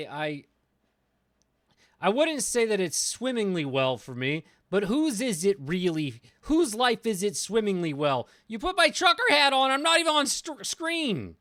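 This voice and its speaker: A streamer chatting casually on a livestream in a theatrical, rambling way, repeating phrases and swinging between pleading outbursts and low deadpan. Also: no room sound. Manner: repetitive, rambling.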